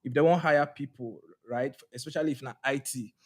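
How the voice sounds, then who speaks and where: A man co-hosting a live podcast, telling stories in animated, repetitive bursts. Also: mid-range voice, fairly quick. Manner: animated storyteller, repeats words. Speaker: podcast co-host. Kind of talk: casual chat, live podcast.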